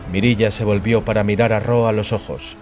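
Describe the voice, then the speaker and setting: A man narrating dramatic fiction in a solemn, measured way. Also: lowish voice, little pitch movement, a little mellow, faint music behind.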